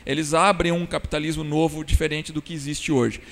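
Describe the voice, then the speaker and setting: A man's voice: on the high side, level tone, a little fast. A man from São answers conversationally as an interview guest. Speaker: guest, technology company entrepreneur. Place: glass studio inside a shopping mall. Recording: long reverb of a hall-like room.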